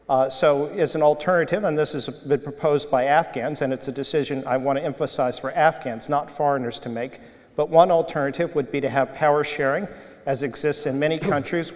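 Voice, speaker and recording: A man in his sixties, a debate panelist, giving formal, measured answers with many hesitations, on a lapel mic at a desk on a televised stage. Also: on the high side, steady tone. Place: large televised debate hall with audience. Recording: long hall reverb.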